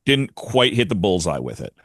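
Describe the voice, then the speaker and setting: A man's voice: sits low, sing-song, moderate pace, fairly bright tone, no room sound, clean studio sound. A man on a podcast panel talking casually through his review.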